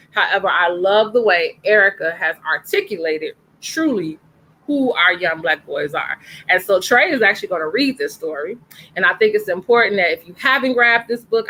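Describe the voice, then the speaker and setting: A woman's voice: medium pitch, sharp tone, low-quality recording. Earnest woman hosting online story time. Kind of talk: casual chat and introduction.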